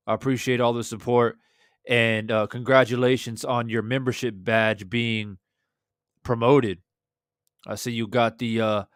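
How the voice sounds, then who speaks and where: A man in his thirties with a hoarse voice, hosting a casual boxing livestream from a small room at home, shouting out viewers in the chat. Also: medium pitch, no echo, clean studio sound. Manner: laid-back, shouting out chat viewers, swears freely. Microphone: studio desk mic with headphones.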